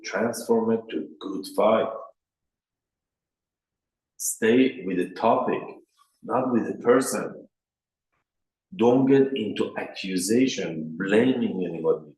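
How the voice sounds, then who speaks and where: A man's voice: lowish voice, takes it slowly, pauses often, darkish tone, roomy sound, low-quality recording. A man with a Persian accent giving a workshop lecture on marriage as a rabbi, in plain short sentences, raising his voice to act out quoted speakers. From Iran.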